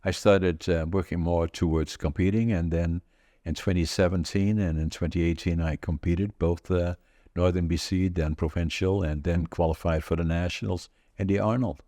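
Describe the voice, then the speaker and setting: A Dutch-accented man in his eighties hosts a relaxed podcast chat. His voice sits very low and mellow, and it sounds dry and close. He tells his stories in a halting, rambling way, stopping and restarting often. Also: dry recording. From Northern Netherlands. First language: Dutch regional dialect. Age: 80s. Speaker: podcast host. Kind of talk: casual conversational storytelling.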